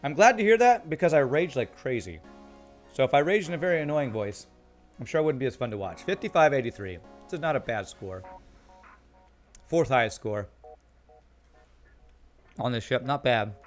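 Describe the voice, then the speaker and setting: Guy streaming a game, calm soothing voice kinda tired, chatting w chat, quiet music behind. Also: mid-range voice, normal speed, long pauses. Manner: chatty, reads chat aloud, laughs often. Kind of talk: casual live-stream commentary.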